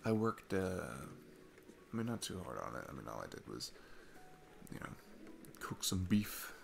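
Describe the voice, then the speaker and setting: A man's voice: low voice, very animated, normal speed, vocal fry, slight background noise, full character voice. A man playing a sweet, nervous single dad in an intimate ASMR roleplay, rambling and hesitant in a casual, self-deprecating way. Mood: sheepish.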